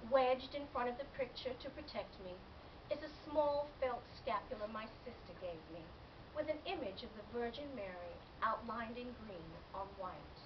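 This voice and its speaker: A woman reading a first-person literary story aloud as scripted narration, in a measured, expressive way. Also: fairly high pitch. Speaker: author reading own novel excerpt. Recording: dull lo-fi, distant mic, loud background noise.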